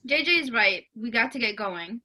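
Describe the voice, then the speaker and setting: A woman playing a curious high school girl in an amateur script table read. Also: lively intonation, normal speed, sharp tone, exaggerated voice.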